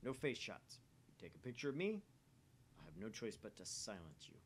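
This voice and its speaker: A man's voice: medium pitch, sing-song, moderate pace, slight background noise. A man voicing a paranoid, grandiose young mad scientist, reading a visual novel aloud in a pompous, theatrical manner. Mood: pompous, grave.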